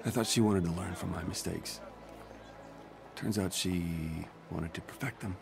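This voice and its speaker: An actor playing a gentle, remorseful middle-aged scientist, hesitant and subdued, in a video game. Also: male voice, low voice, measured pace, creaky, noisy background. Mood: subdued regret. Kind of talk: naturalistic video game cutscene acting. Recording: music behind the dialogue.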